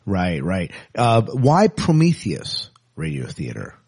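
Low-voiced, lively male podcast host. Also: takes it slowly, husky. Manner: affirming, chatty interviewer. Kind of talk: interviewing a guest.

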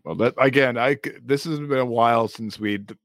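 A man's voice: medium pitch, brisk, fairly bright tone, dry recording, studio quality. A man co-hosting a podcast in casual chat, laughing often and trailing off into restarts. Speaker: podcast co-host.

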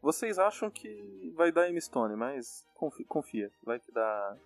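A man's voice: fairly high pitch, very animated, unhurried, vocal fry, no room sound, soft background music. A man with a Brazilian accent hosting a podcast, reading out nominees in a playful, jokey way. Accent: Brazilian Portuguese. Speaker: podcast host. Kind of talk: casual film chat.

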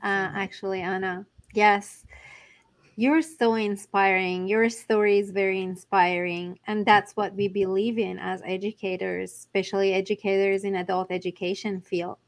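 A woman hosting an interview podcast, affirming her guest's story with measured remarks. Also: medium pitch, little pitch movement. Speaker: podcast host. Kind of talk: interview conversation.

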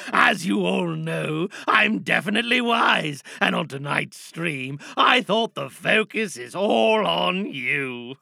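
A man's voice: high voice, gravelly, bright, forceful tone, no echo, clean studio sound, full character voice. A man voicing a grandiose, self-important fake online oracle in a pompous, melodramatic livestream monologue. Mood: grand, welcoming.

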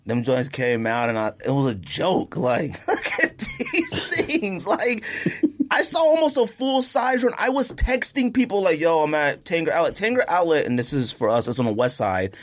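An American man co-hosting a podcast, chatting casually and telling stories in a slangy, animated way. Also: fairly high pitch, normal speed. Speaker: podcast co-host. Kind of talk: casual sneaker podcast chat.

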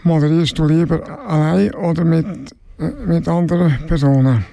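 Creaky, higher-voiced male interviewer. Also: steady tone, normal speed, warm tone, dry recording. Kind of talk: simple prepared interview questions.

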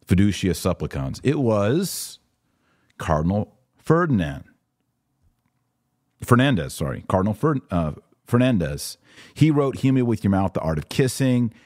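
A man's voice: on the low side, sing-song, unhurried, pauses often, darkish tone. A man hosting a podcast, giving casual commentary in an informal, emphatic, slangy way and repeating key phrases for punch.

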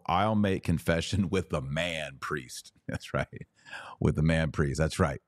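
A man's voice: extremely low pitch, dry recording, studio quality. A man hosting a religious commentary podcast, speaking in an emphatic, preachy way with short punchy phrases and questions put straight to his listeners. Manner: rhetorical questions to listeners, acting out imagined quotes. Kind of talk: impassioned religious commentary to a live audience.

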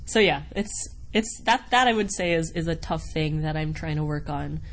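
Lowish-voiced American woman, chatty, noisy background. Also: normal speed. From United States (Wisconsin). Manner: chatty, rambling, giggly, self-deprecating. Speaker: voice actor being interviewed. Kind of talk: casual interview answers. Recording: noticeable background noise.

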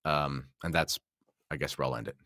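A man's voice: a bass voice, steady tone, fairly quick, sharp tone, dry recording, clean studio sound. A man talking as a podcast guest in a conversational religious discussion, making careful, qualified counterarguments.

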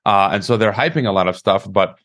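A man's voice: fairly low pitch, fairly quick, a bit bright. A man hosting an interview who stammers and repeats words as he gathers his thoughts. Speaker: interview host. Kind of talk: conversational interview.

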